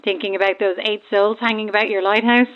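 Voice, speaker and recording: A woman's voice: mid-range voice, fairly even intonation, fast talker. Stranded boat woman on narrow, tinny marine radio: chatty yet cryptic, knowing ghost believer, audio horror drama. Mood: chatty, keen. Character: eerie, self-aware female ghost believer calling from stranded boat. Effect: radio transmission filter. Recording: thin, band-limited marine radio.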